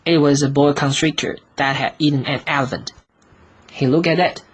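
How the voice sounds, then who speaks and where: A man reads a storybook as scripted audiobook narration, in short, careful, deliberate phrases. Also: a little high, fairly even intonation, husky, poor audio. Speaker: audiobook reader.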